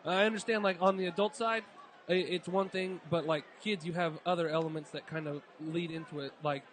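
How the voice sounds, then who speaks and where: A man's voice: on the high side, steady tone, fairly quick, slight background noise. A man asking hesitant, filler-heavy questions as co-host of a casual podcast interview.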